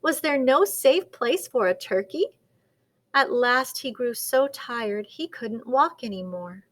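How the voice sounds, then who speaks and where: A woman storyteller with a high voice reads a children's tale aloud. Her delivery is dramatic and very lively in pitch, and she takes her time with an unhurried pace. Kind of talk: expressive children's picture-book read-aloud.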